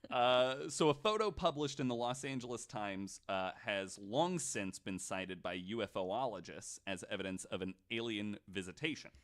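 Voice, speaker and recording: A man hosting a casual podcast, retelling stories to his guests in an animated, swearing way. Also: fairly low pitch, normal speed, few pauses. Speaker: podcast host.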